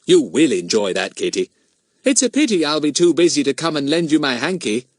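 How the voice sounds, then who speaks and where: A man voicing a confident, cheeky boy detective in a children's storybook, brisk and well-mannered. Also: on the high side, very animated, dry recording, studio quality, pitched above the performer's own voice. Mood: polite, teasing.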